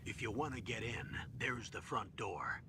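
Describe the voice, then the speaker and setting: An actor playing a stern older military commander in a video game, giving briefings with measured authority. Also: male voice, lively intonation, gravelly, sharp tone, heavily acted. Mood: firm, advising. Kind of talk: dramatic video game voice acting. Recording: noisy game audio with low rumble.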